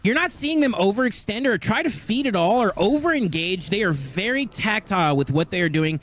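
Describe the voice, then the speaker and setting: Lively high-voiced stream host man. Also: brisk. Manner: chatty, addresses chat directly. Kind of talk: casual stream commentary.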